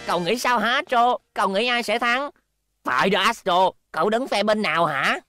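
A woman's voice: medium pitch, normal speed, pushed and bright, soft background music, natural voice. A woman voicing a cocky, insistent, argumentative schoolboy in a Vietnamese cartoon dub. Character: pushy, argumentative schoolboy racing fan.

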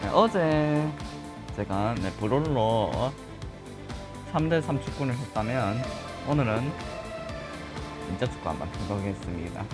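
A man with a regional Korean accent chatting casually over a football video game, his voice a little husky from just waking up, breaking into loud excited shouts. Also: mid-range voice, a little slow, long pauses. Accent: regional Korean dialect. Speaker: gaming channel host. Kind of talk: live gameplay commentary. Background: game music.

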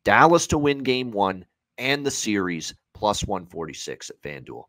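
Low-voiced man giving emphatic sports commentary. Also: big pitch swings, normal speed, fairly bright tone, no room sound. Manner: emphatic, repeats phrases for stress. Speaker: sports betting analyst on a show. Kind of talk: opinionated unscripted hockey betting commentary. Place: indoor room at home, on a video call.

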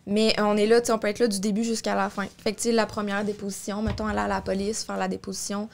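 A woman's voice: mid-range voice, flat delivery, fast, gravelly. A young woman with a Québécois French accent, a support worker explaining things as a guest on a podcast in a small studio, with many fillers and self-corrections. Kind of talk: casual podcast discussion. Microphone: studio mic on boom arm, headphones.

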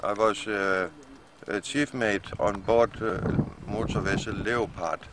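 A man's voice: lowish voice, steady tone. A middle-aged man with a Danish accent, speaking hesitantly to a camera outdoors as a captive ship's officer. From Denmark. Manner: hesitant, halting. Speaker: captive ship's chief mate. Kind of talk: halting plea to camera. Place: outdoors in bushland. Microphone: camera a short distance away. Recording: very noisy windy outdoor camcorder.

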